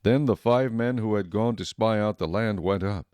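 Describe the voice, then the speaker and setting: A man reading scripted Bible narration in a measured, solemn storytelling manner. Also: lowish voice, clear, resonant tone, no echo, lo-fi sound. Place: dry studio.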